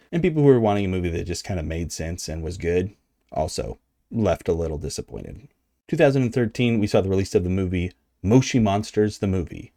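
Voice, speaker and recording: A man's voice: low voice, mellow, dry recording, studio quality. An American man hosting a video essay, talking in a casual, conversational and slightly wry way. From United States. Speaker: video host.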